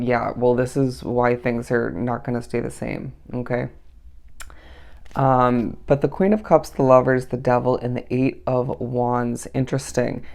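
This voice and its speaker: A tarot reader giving a casual daily reading, with a raspy, tired voice in the small hours, musing aloud and often checking in with the listener. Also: mid-range voice, darkish tone. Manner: meandering, musing, often asks the listener "okay?". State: tired, up at three in the morning.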